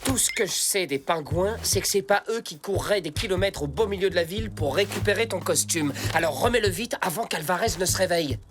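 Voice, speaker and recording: Expressive, exasperated cartoon animal buddy played by an actor. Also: mid-range voice, a little fast, hardly any pauses, close to an everyday voice. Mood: dry, explaining. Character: sensible, exasperated male animal friend in a cartoon. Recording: music under the dialogue.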